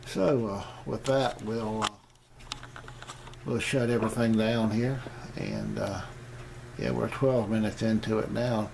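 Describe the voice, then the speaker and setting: Man, lowish pitch, creaky, hesitant plain-spoken video host, casual demonstration, noisy amateur recording. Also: steady tone, measured pace. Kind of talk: rambling casual demonstration to camera. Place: indoor home radio shack.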